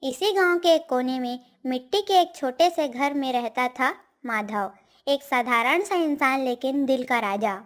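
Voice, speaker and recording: A woman narrates a moral tale in a high voice. The delivery is scripted storytelling, like a storybook read aloud. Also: a little slow, fairly bright tone.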